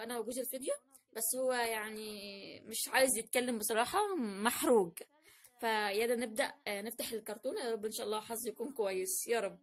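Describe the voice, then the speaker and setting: A young woman with an Egyptian Arabic accent, chatting casually and playfully as the host of a home challenge video. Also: fairly high pitch. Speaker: vlog host. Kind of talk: casual unboxing challenge chat. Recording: noisy home recording, crinkling packets.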